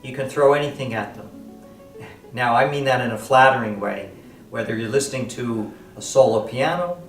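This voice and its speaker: A man with a mid-pitched voice delivers a measured, deliberate product review. He sounds set back from the microphone in a roomy, echoey space. He phrases things like a reviewer, pausing between thoughts. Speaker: audio equipment reviewer. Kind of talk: spoken product review to camera, semi-scripted. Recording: roomy echo, distant from the mic. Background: quiet plucked guitar or lute music.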